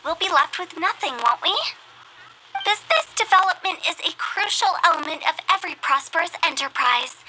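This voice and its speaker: A woman voicing an eccentric, playful young funeral director in a video game, with theatrical sales-pitch cheer. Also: sharp tone, a little hiss or hum, full character voice, rough recording. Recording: game music behind. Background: game music.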